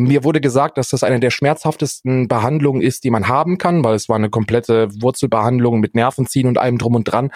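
A man's voice: medium pitch, a little mellow, dry recording. A man co-hosts a podcast in a mid-range voice, recorded very dry. He tells personal stories casually, pokes fun at himself and exaggerates for dramatic effect. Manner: self-deprecating, dramatic exaggeration. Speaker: podcast co-host.

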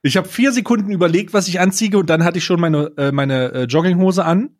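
A man chatting casually as a podcast co-host, deliberate and mock-serious in how he puts things. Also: high voice. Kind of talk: casual chat.

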